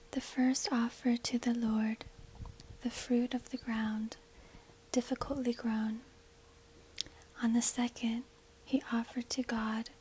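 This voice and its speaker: A woman tells a story in a very breathy, unvoiced whisper. Her delivery is slow and deliberate, with little movement in pitch. She is close to a binaural mic, in a hushed ASMR narrating style. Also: poor audio. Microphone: close binaural microphone.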